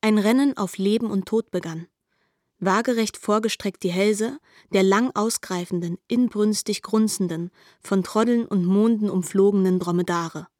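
A woman's voice: medium pitch, normal speed, a little mellow, no room sound, clean studio sound. A woman narrating a literary radio drama as an articulate storyteller.

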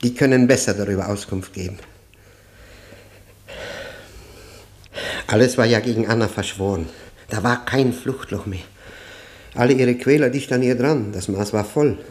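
An actor playing a tormented, bitter man of forty-five, haunted by guilt, halting and brooding in a German radio play. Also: mid-range voice, a little mellow, lightly acted.

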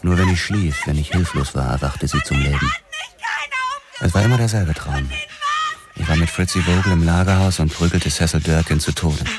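Very deep-voiced haunted police officer played by a man. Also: moderate pace, lightly acted. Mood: haunted, grim. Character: hard-boiled 1940s American male police officer, haunted. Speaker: narrator. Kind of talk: first-person crime radio drama narration. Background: prominent music.

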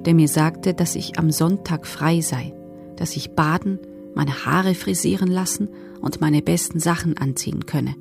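An actress playing a former female camp prisoner who gives subdued, sober, measured testimony in a documentary radio drama. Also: on the low side, creaky.

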